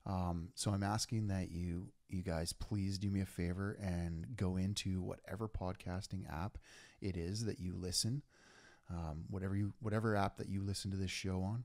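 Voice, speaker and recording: Low gravelly guy hosting his podcast, eager, dry close mic. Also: fairly even intonation, moderate pace, darkish tone, no echo. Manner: earnest, enthusiastic, many fillers and restarts. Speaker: podcast host. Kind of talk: casual podcast intro and interview.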